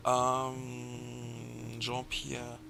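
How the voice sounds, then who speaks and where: A man's voice: mid-range voice, completely flat delivery, drawn-out words, gravelly, music in the background. A man hosting a game livestream, reading viewer comments aloud in a hesitant way with many fillers; English is not his first language. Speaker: stream host reading chat. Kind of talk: casual livestream chat.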